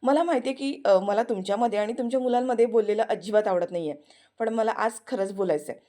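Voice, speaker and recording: A woman voicing a gentle, respectful young woman who pleads politely. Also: a little high, fast, lightly acted. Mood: pleading.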